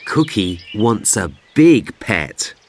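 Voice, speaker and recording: A woman narrating a children's read-aloud story slowly, in simple phrases. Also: a bass voice, big pitch swings, heavy background noise.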